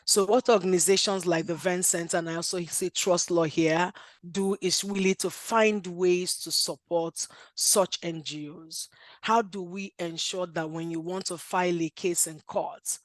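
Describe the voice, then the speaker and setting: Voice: medium pitch, fairly even intonation, unhurried, dry recording. A speaker with a Nigerian accent, a lawyer giving an earnest talk at a workshop with frequent "um" fillers and restarts. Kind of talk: conference speech.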